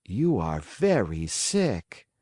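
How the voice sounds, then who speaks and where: A man voicing a patient, gentle motherly fairy who coaxes softly. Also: sits low, wildly swooping pitch, extremely slow, dry recording, clean studio sound, heavily acted. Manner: gentle, coaxing.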